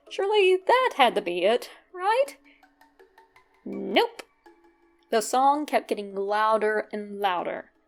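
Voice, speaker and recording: A woman narrating a scripted first-person story in a conversational way, with wryly humorous asides. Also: a little high.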